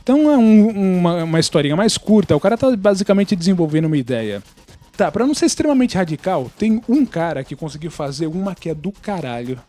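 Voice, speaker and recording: A man's voice: sits high, sing-song, fairly quick, dark tone. A Brazilian man explaining his opinions in casual podcast chat, blunt, opinionated and sometimes foul-mouthed. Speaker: podcast participant.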